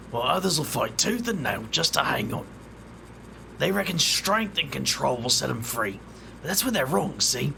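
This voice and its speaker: A man's voice: mid-range voice, gravelly, sharp tone, slight background noise, exaggerated voice. A man voicing a brooding, world-weary wizard investigator with a wry, dry way of talking. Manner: wry, brooding, muttering asides.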